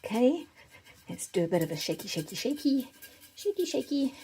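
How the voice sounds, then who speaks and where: A woman's voice: on the high side, big pitch swings, normal speed, dark tone, a little hiss or hum. A South African woman chatting casually to viewers as the host of a craft channel. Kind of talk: casual chat to viewers while doing a giveaway drawing.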